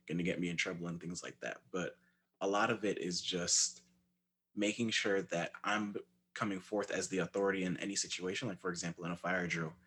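A young man with a very low, deep voice explains his views in a calm, measured way. He is articulate and lays out examples point by point. A faint hiss sits under his speech. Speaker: panelist, former resident assistant. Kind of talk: casual discussion.

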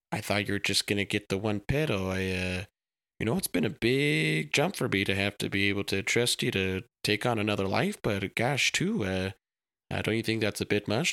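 A man's voice: fairly low pitch, creaky, pushed and bright, no echo, studio quality. A man voicing a shy, doting middle-aged Minnesotan dad, an accountant who talks in a folksy, stammering way in a storybook tale. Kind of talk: storybook character voice.

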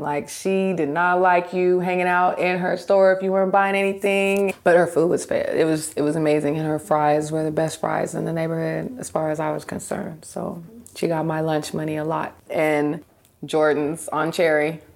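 A woman from Seattle reminiscing slowly and fondly about neighborhood food spots, her voice often trailing off softly. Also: low voice, fairly even intonation. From Seattle, USA. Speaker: community interviewee. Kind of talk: reminiscing interview.

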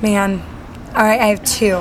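A woman's voice: mid-range voice, flat delivery, unhurried. Young woman, mid-range voice, bubbly and chatty, faint hiss behind. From Salt Lake City, Utah. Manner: bubbly, chatty, many fillers. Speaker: singer-songwriter guest. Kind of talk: casual interview.

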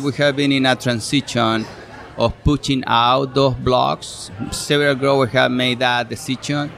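A man's voice: medium pitch, gravelly, fairly bright tone. A middle-aged man from Chile with a Chilean Spanish accent, a fruit specialist explaining his work in an interview with frequent fillers and restarts. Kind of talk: relaxed interview answers. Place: outdoor farm show event.

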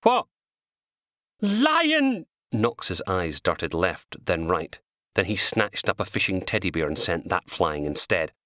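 A man reading his own crime novel as an audiobook, in an animated scripted narration. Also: deep voice, a little slow, dry recording, studio quality.

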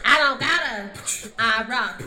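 Woman as a brash, high-voiced, swaggering rapper creature. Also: measured pace, bright, forceful tone, slight background noise. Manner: swaggering, slangy, frat-like bragging. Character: brash, crude, boastful rock creature who fancies itself a rapper. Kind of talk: comic audio play acting. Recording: echoey room with music underneath.

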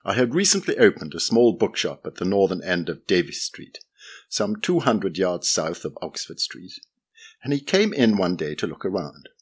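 A man giving a measured, expressive reading of a literary memoir essay. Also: sits low, husky.

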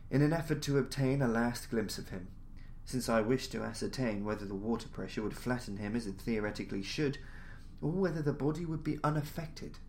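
A man reading a story aloud in a measured, formal, theatrical way, as a podcast narrator. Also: medium pitch, a little mellow. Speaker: narrator, audiobook-style podcast reader. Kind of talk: dramatic scripted story narration. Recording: noticeable background noise, jungle-like ambience.

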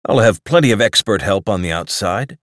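A man's voice: lowish voice, expressive, brisk, no room sound, clean studio sound, close to an everyday voice. A man voicing a sour, peevish male clubman of about fifty, sardonic and lazy.

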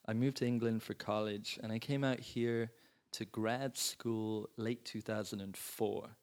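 A man's voice: fairly low pitch, fairly even intonation, unhurried, darkish tone. Thoughtful man raised in Italy. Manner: thoughtful, hedging with "you know" and "like". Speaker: guest screenwriter. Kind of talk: casual interview chat.

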